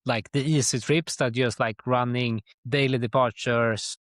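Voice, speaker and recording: A middle-aged man from Sweden with a Swedish accent, answering interview questions conversationally in a halting way, often dropping in "like". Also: mid-range voice, fairly even intonation, fairly bright tone, no room sound, studio quality. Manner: halting, with very frequent "like". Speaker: guest, tour company chief executive.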